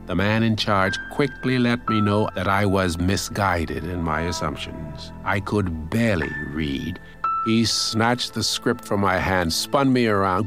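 Man, memoir narrator, deep and calm, over background music. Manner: calm, storytelling. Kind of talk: reading a memoir aloud.

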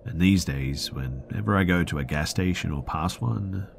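A man with a deep voice narrating first-person scary stories for a podcast, often dropping to a hush. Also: moderate pace, creaky, slight background noise. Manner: hushed, slipping into whispers at tense moments.

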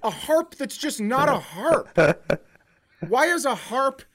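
A man's voice: very high-pitched, takes it slowly. A middle-aged man, a comedian podcast host, telling stories casually in a profane way and acting out what people said. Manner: profane, animated retelling with acted-out quotes. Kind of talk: casual comic storytelling.

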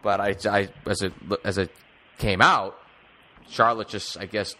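A man's voice: fairly low pitch, fairly even intonation, measured pace, bright, forceful tone. A man hosting a casual sports talk interview, speaking in an emphatic, rambling way. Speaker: sports podcast host.

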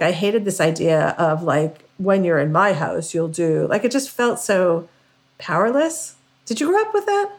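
A middle-aged American woman chatting casually as a podcast co-host, self-deprecating and peppering her sentences with "like". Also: mid-range voice. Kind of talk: casual conversational chat.

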